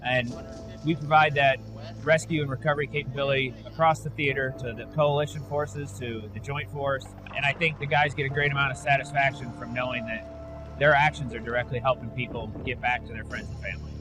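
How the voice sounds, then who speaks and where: A man's voice: mid-range voice, steady tone, brisk. American man interviewed over prominent music. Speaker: military rescue officer. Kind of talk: documentary interview.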